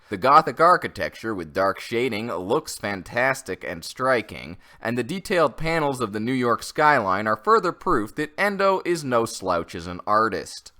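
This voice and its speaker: A man's voice: mid-range voice, pushed and bright. A man narrating a scripted review in an opinionated, conversational way. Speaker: comic and manga reviewer.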